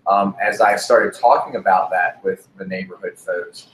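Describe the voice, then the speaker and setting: A man, a candidate, speaking earnestly at a panel discussion, measured with fillers. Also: low-pitched, steady tone, fairly bright tone. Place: restaurant room with brick walls. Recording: echoey room, faint noise.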